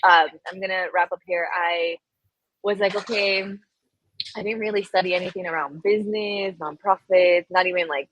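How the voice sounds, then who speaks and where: A woman from Colombia with a lowish voice tells her story in a relaxed, chatty way. She sounds animated and laughs easily, with plenty of fillers along the way. Her voice is dry and close, with no room sound around it. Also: moderate pace, dry recording, rough recording. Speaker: guest. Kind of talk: casual interview chat.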